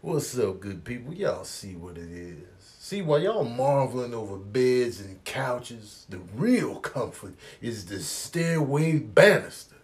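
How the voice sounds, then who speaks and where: A man's voice: mid-range voice, big pitch swings. A man voicing a laid-back, smug cat in a comic voiceover, talking coolly and boastfully without hurry. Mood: smug, relaxed. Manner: cool, unhurried, boastful.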